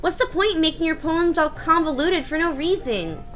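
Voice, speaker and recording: Prickly little schoolgirl for visual novel, played by a woman: bratty, very high-pitched, snappish, soft music behind. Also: lively intonation, moderate pace. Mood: indignant. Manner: blunt, huffy, snappish. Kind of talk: visual novel voice-over read aloud.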